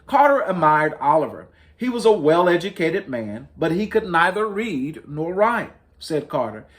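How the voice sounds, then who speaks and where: Man reading a children's story, very animated intonation. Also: on the high side. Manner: deliberate, emphatic storytelling with dramatic rises and falls. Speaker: host reading stories to children.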